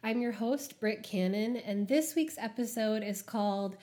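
A young woman hosting a casual solo podcast, chatty and full of "like" fillers, her voice stuffy and a little husky from a cold. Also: medium pitch, unhurried, mellow. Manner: chatty, frequent "like" fillers, laughs often. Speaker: podcast host.

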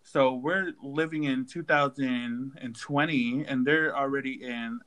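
A man chatting casually with friends, animated and joking, with lots of "like" fillers. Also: medium pitch, a little slow, low-quality recording. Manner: animated, joking, uses "like" often. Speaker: group chat member. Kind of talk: casual group chat.

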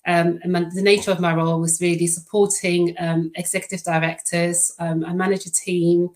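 A middle-aged woman from the Netherlands, Dutch by first language, answering interview questions in a friendly, halting way with many fillers. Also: lowish voice, little pitch movement. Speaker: podcast guest.

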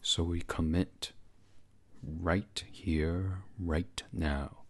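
A man leading a guided sleep meditation in a hushed, breathy, unhurried voice that often slips toward a whisper, soothing and instructional. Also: sits low, steady tone.

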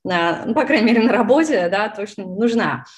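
A woman's voice: mid-range voice, lively intonation, fast. A woman whose first language is Russian, chatting casually with many fillers and restarts. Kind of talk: casual conversation.

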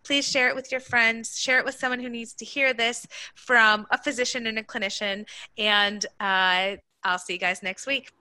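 A middle-aged woman hosting a podcast, talking in an affirming, conversational way. Her voice sits a little high and rings bright and forward. The sound is dry and close, with no room around it. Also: steady tone, moderate pace, no room sound. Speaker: podcast host. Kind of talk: podcast interview. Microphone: remote call from her kitchen.

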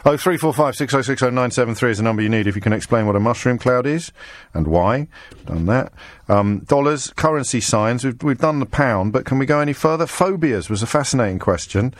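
A middle-aged English man hosting a radio phone-in from a studio, bantering quickly and mocking himself. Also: mid-range voice, animated, no room sound. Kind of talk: casual phone-in chat. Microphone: studio microphone.

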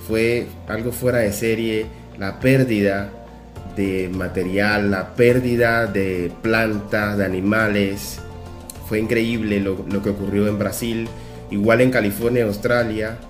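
Guy hosting a show, talking to the audience, echoey room w some soft music behind. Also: medium pitch. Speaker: host. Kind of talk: presenting a programme to camera or listeners. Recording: roomy echo, music underneath.

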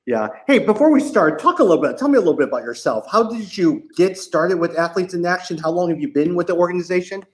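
A man's voice: high voice, lively intonation, quick pace, few pauses. A man chatting casually as an interviewer, asking his guest questions. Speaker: interviewer, host.

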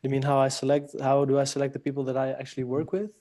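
A man from France, a guest on a podcast interview, speaking thoughtfully with many pauses and restarts. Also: mid-range voice, monotone, dark tone, dry recording. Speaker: guest, leadership trainer.

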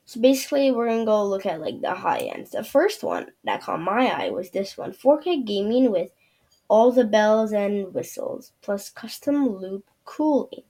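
A woman's voice: mid-range voice, vocal fry, dark tone. A woman hosting her own show, talking through a screen-share review in a loose, rambling way with lots of fillers. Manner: rambling, loose, many fillers and trailing "yeah". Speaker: podcast host.

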